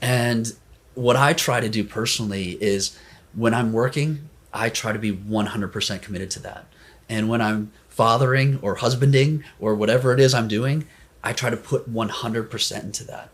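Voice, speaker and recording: An American man talking as a financial advisor and podcast guest, animated in telling stories and often checking in with "right?". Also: medium pitch, normal speed. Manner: animated storyteller, tags sentences with "right?". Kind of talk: conversational podcast interview.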